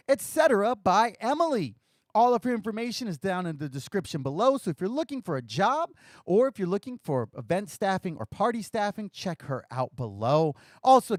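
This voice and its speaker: A young man hosts his podcast in a loose, rambling way, cracking small self-deprecating jokes. His voice is a bit scratchy from a short night, sitting fairly high and moving around a lot in pitch. The sound is close and dry, with no room around it. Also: no room sound. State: slightly scratchy voice from little sleep. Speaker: podcast host. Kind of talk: casual podcast talk, live stream.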